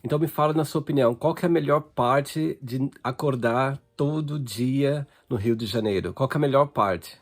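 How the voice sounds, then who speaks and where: A man's voice: mid-range voice, normal speed, few pauses, faint music behind. A man hosting an interview show, welcoming the guest and asking short, upbeat questions over a video call. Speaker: host.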